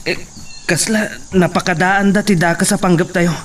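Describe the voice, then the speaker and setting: A villain's deferential henchman, played by a man speaks hesitantly in a radio-style drama. The voice is slightly high, with clear chirping noise behind it. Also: steady tone, normal speed, natural voice. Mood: nervous, reporting. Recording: noisy with chirping insects.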